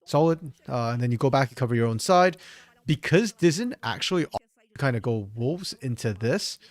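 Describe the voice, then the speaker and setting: A man with a slightly hoarse voice, reviewing a match on his own in a casual, think-aloud way with frequent restarts. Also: mid-range voice, expressive, normal speed, no room sound. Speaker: esports caster doing a solo VOD review. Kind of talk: casual solo game analysis commentary.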